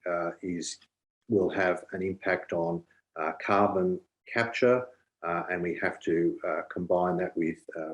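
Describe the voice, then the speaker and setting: A man answering haltingly as a candidate at an online forum. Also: sits low. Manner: halting, hesitant delivery. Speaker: election candidate. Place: online video call.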